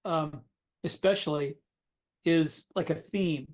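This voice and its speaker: A man co-hosting a podcast, talking informally and haltingly, often repeating his words. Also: on the high side, darkish tone. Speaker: podcast co-host, science fiction author. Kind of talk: casual podcast chat.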